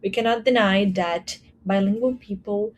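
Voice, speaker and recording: A Brazilian woman, a native Portuguese speaker with a Brazilian Portuguese accent, presenting research at a conference in a measured way, with frequent ums and uhs. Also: medium pitch, slight background noise. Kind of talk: academic talk.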